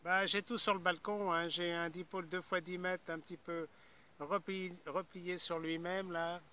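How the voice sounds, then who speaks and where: Bright-voiced man in casual radio chat. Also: high voice, flat delivery, normal speed. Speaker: amateur radio operator.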